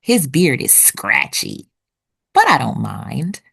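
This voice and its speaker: A woman reading a children's picture book aloud expressively, with deliberate storytelling pauses. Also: extremely low pitch, very animated, vocal fry, fairly bright tone, slightly rough. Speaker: children's read-aloud narrator.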